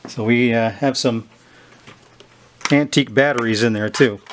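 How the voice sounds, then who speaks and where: A man giving an unscripted, halting demonstration of equipment, thinking aloud as he handles it. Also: medium pitch, noisy background. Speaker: host demonstrating a vintage tape recorder. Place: indoors at a tabletop.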